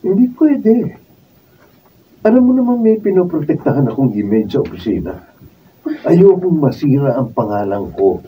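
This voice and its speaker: A man's voice: mid-range voice, sing-song, moderate pace, long pauses, mellow, close to an everyday voice. A Filipino man acting an evasive, dismissive cheating husband in a radio drama. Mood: dismissive, irritated. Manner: defensive, dismissive. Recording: muffled distant mic, faint noise.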